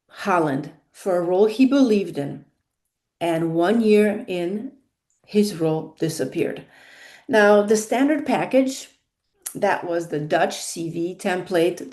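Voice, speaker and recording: A woman's voice: on the low side, darkish tone. A woman with a non-native English accent giving a monologue as a career consultant, stressing key words and repeating her points.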